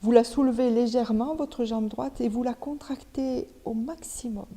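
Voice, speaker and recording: A woman yoga teacher guides a relaxation session one instruction at a time. Her voice sits a little high yet has a very dark, mellow tone. She leaves pauses between steps, and a long reverb surrounds her words. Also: fairly even intonation. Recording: long reverberant hall echo.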